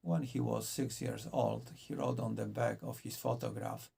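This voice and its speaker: Man, book reviewer, measured and earnest, raspy, gravelly. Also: medium pitch, steady tone, warm tone. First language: Polish.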